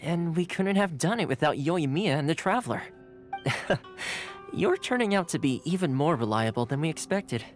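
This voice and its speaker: A man's voice: a little high, expressive, normal speed, lightly acted. A man playing an easygoing, friendly young retainer in an anime-style video game, courteous and casual. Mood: reassuring. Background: faint music.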